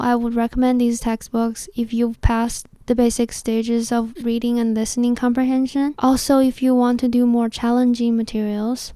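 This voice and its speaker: A young woman narrates a scripted voice-over in a fairly high, mellow voice. Her delivery is measured and very even, with little rise or fall, as if reading from prepared notes. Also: no room sound. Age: 20s. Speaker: video host.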